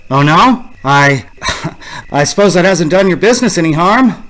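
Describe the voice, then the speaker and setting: An actor playing a haunted, lonely motorist narrating a suspense radio drama in a halting, urgent way. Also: male voice, high voice, lively intonation, a bit bright, poor audio. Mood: nervous. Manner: halting, repeats himself, urgent. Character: haunted male motorist narrating his uneasy lonely road journey.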